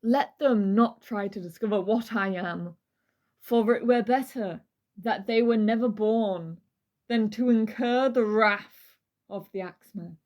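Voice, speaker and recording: A woman's voice: mid-range voice, unhurried, a little mellow. A woman co-hosting a true crime podcast, switching between dramatic reading and casual, irreverent banter. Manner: playful, irreverent, swears casually.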